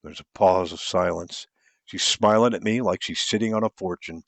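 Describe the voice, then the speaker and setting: A man's voice: low-pitched, moderate pace, gravelly, no echo. A man narrating a first-person romance story as an audiobook reader, in a dramatic storytelling style.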